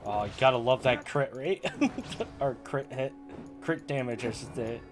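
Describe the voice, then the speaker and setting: A man's voice: mid-range voice, unhurried. A man streaming a video game, giving casual, offhand commentary in short amused asides. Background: game music.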